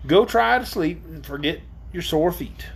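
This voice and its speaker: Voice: mid-range voice, lively intonation, a little slow, vocal fry, husky, heavily acted. A reader voicing a cautious, kindly old sailor man in a storybook, with a folksy, doleful way of talking. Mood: steady. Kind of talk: acted storybook character.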